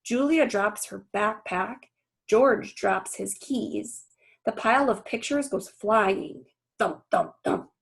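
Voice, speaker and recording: An earnest, anguished gorilla tells his own storybook tale, read by a woman in short dramatic phrases. The voice is husky with a creaky edge, and it moves slowly. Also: medium pitch, animated, heavily acted, low-quality recording. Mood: solemn, intense.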